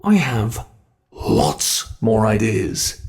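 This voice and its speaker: A man with a British accent voicing a sadistic monster that hides under the bed, in an ASMR horror roleplay, talking slowly and slipping into menacing whispers. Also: lowish voice, huge pitch swings, darkish tone, full character voice. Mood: musing. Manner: slow, gloating, menacing, drops into whispers. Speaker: voice actor.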